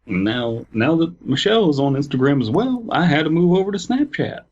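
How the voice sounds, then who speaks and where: A man's voice: a little high, sing-song, brisk, dull audio, background noise, voice pushed up. Man putting on a wobbly Southern-tinged presidential impression. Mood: mock earnest. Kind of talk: comic impression on a podcast.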